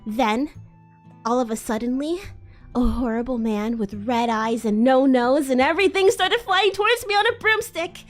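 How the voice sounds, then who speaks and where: A woman's voice: high-pitched, moderate pace. A woman streamer giving a theatrical, amused live dramatic reading of fan fiction. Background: faint music.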